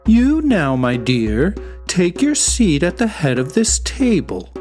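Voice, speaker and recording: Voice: medium pitch, huge pitch swings, takes it slowly, darkish tone, faint music behind, voice pushed up. A storyteller voicing a gracious, commanding queen in a folktale, with a regal and dramatic manner.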